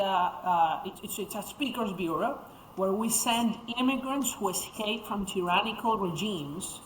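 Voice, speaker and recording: A young speaker from Venezuela, a native Spanish speaker, talks as an interview guest and tells stories quickly and animatedly, with many fillers. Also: mid-range voice, pushed and bright, a little hiss or hum. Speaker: guest, founder of a speakers bureau. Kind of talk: interview conversation. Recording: echoey room.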